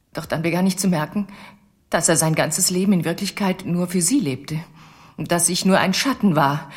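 An actress playing a ventriloquist's jealous, neglected wife in a radio crime drama, speaking in a bitter, confessional way. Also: female voice, low-pitched, close to an everyday voice.

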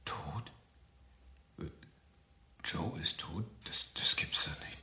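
An actor playing a brooding, guilt-ridden doctor with a subdued, hesitant delivery in a German radio crime play. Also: male voice, low-pitched, takes it slowly, pushed and bright, exaggerated voice. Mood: shaken, evasive. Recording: roomy, hissy old radio recording.

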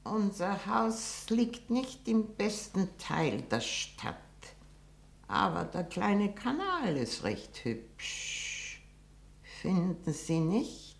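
An older actress in a radio play playing a very old, shrewd, greedy and haughty spinster aunt with a cracked voice. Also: female voice, mid-range voice, big pitch swings, gravelly, full character voice. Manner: slow, halting, haughty and blunt. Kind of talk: 1960 German radio play acting.

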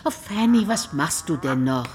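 An actress playing a bossy, fussing aunt in a German radio play, officious and effusive. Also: female voice, low voice, animated, normal speed, darkish tone. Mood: bossy, hurried. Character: bossy, fussing, flattering aunt of a genteel household.

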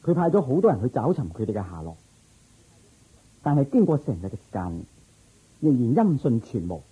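A man's voice: medium pitch, sing-song, a little fast, husky. A man delivering scripted narration for a radio drama, as its storyteller. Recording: dull lo-fi radio recording. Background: music.

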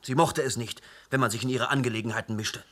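A man's voice: mid-range voice, steady tone, quick pace, pushed and bright. An actor playing a sulky young man who dodges questions in a radio play and rises to tearful protest. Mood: pleading. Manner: evasive, rising to tearful outbursts. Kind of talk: radio drama acting.

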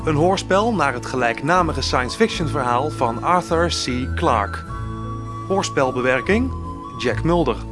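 Higher-voiced man announcing over music. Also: expressive, measured pace. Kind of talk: scripted radio play introduction. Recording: loud music under the voice.

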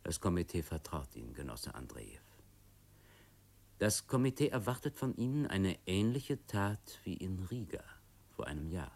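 An actor playing a stern, cold revolutionary committee boss in a German radio play, speaking in a deliberate, commanding way. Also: male voice, sits low, big pitch swings, creaky, a little mellow, heavily acted. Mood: businesslike, commanding.

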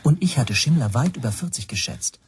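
An actor narrating a radio drama in the first person as an anxious language teacher, hushed and tense. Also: male voice, mid-range voice, expressive, fairly quick, a little hiss or hum, close to an everyday voice. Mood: wary. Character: anxious male language teacher recounting his spy troubles.

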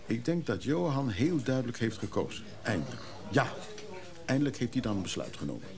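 Freek, a dry, blunt, creaky-voiced man. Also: medium pitch, sing-song, a crowd in the background. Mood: dismissive. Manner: brisk, sardonic. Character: dry, blunt, unsentimental male confidant Freek. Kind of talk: Dutch radio play acting.

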